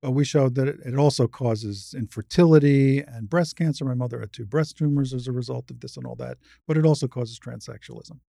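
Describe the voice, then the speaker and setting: Creaky-voiced older woman explaining emphatically. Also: a bass voice, a little fast, hardly any pauses, mellow, no room sound, studio quality. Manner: emphatic, didactic, explains step by step. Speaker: interview guest, retired surgeon and advocate. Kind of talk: explanatory conversational interview.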